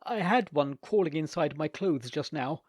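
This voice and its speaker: Male reader as squirming, mortified young gent; high, jumpy delivery, dry close sound. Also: expressive, moderate pace, dry recording. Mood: flustered. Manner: nervous, desperate, high-strung. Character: flustered, embarrassed young gentleman.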